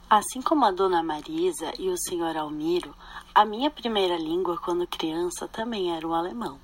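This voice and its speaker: Young woman reading a measured narration. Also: medium pitch, low-quality recording. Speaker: student podcast host. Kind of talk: read narration.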